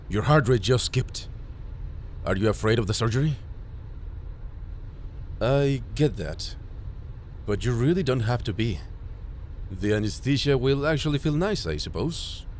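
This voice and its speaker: A man playing a caring, worried ambulance medic who gently reassures an injured patient in an immersive audio roleplay. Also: medium pitch, lively intonation, measured pace, long pauses, slight background noise, lightly acted. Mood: soothing concern. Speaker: voice actor.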